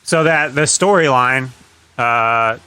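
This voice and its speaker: A man's voice: mid-range voice, slow, pushed and bright, clean tone. A man chatting casually as a podcast panelist, agreeable and free with swearing. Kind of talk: casual chat.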